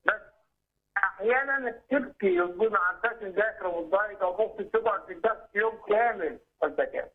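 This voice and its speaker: A man's voice: high voice, quick pace, slightly rough. A middle-aged man with an Egyptian Arabic accent calling in by telephone to ask a doctor for advice. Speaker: caller seeking advice. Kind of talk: phone consultation. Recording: muffled lo-fi telephone line.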